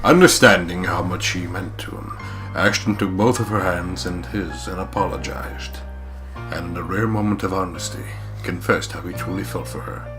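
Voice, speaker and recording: A man's voice: low-pitched, fairly even intonation, moderate pace, over music. A man narrating a visual novel as a let's play co-host, with crude, sweary, mocking humour.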